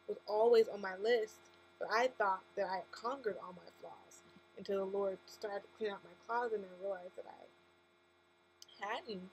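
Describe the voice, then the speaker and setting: A woman's voice: medium pitch, low-quality recording. A woman with a lisp gives a casual, candid personal testimony to camera, speaking emphatically and correcting herself as she goes. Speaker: vlogger with a faith-based message. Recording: steady mains hum and background noise.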